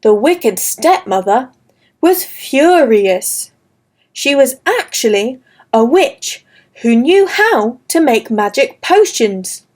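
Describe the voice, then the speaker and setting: A woman narrates a classic fairy tale for children in a slow, careful, gentle reading. Her voice sits high and the pace stays unhurried throughout. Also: big pitch swings. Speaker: storyteller. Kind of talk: scripted children's story narration.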